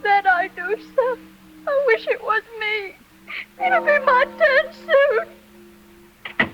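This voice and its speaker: Very high-voiced, sorrowful young maid. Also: female voice, lively intonation, takes it slowly, over music, exaggerated voice. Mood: tearful, despairing. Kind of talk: 1940s radio acting. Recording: muffled 1940s radio recording.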